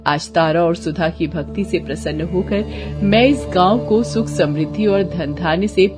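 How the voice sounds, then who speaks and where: A woman's voice: lowish voice, unhurried, over music, lightly acted. A woman voicing a righteous, wrathful mother goddess in a devotional story, commanding and scolding as she declaims. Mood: stern, benevolent.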